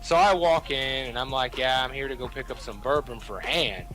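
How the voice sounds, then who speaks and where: A man's voice: medium pitch, normal speed, a bit bright, faint music behind, rough recording. A young man with a Southern Kentucky accent telling rambling, profane stories in a casual chat, often stopping and restarting. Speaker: podcast host.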